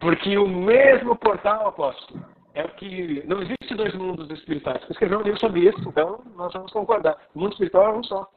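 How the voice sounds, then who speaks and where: High-voiced Gaúcho man on a tinny call. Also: fairly quick, slightly rough. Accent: Gaúcho Brazilian Portuguese. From Rio Grande do Sul, Brazil. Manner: excited, animated teaching. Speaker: pastor. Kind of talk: live video call conversation. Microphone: video call. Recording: tinny phone-like line.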